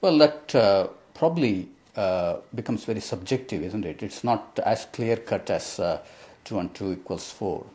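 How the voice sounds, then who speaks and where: A man's voice: on the low side, expressive, normal speed, a little mellow. A man from India hosting a TV interview, asking his questions politely and with some hesitation. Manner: polite, hesitant, with frequent uh fillers. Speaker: TV host. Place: TV studio.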